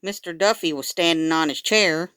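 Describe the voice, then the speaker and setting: A woman reading a children's story aloud in an animated, expressive way, acting out the dialogue as she goes. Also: fairly low pitch, normal speed, clean tone, no echo, studio quality.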